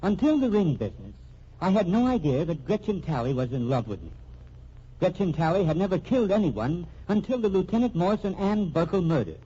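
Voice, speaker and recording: An actor playing an earnest accused man dictating a formal, deliberate statement in a vintage radio mystery, husky-voiced. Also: high voice, sing-song, dark tone. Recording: muffled old radio recording.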